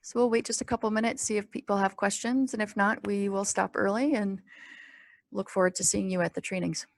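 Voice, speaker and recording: A woman presenting a training webinar in an informal, explanatory way, with a halting, pause-filled delivery. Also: medium pitch, fairly even intonation, vocal fry, no room sound. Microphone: conference call or webinar microphone.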